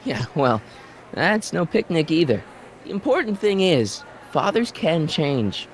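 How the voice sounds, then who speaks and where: A man's voice: on the high side, big pitch swings, unhurried. An actor playing an earnest, well-meaning American teenage boy in a video game, casual, hesitant and pleading. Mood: reassuring.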